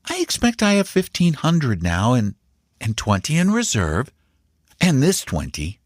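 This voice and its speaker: A man's voice: medium pitch, huge pitch swings, measured pace, dry recording, studio quality, pitched above the performer's own voice. A man voicing a grave, earnest young boy who shares secrets in a storybook. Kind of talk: storybook character dialogue.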